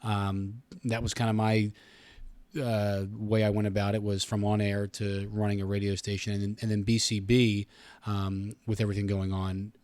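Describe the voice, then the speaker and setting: A man's voice: on the low side, flat delivery, normal speed, darkish tone, clear, resonant tone, no room sound. An American man in his thirties explaining things in a chatty, wordy way as a guest in a casual interview. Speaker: radio station communications director, interview guest.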